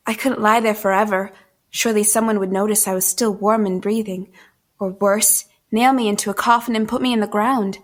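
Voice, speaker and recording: An actress playing a wary, proper young woman in a period audio drama, with refined, measured, old-fashioned speech. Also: mid-range voice, close to an everyday voice. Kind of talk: first-person period audio drama narration and dialogue.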